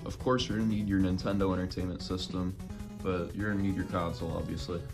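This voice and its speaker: Young male tutorial host, raspy and low-pitched, blunt and laid-back, with music playing behind him. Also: level tone, fast. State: just woken up. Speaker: retro gaming YouTuber. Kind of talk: casual how-to tutorial.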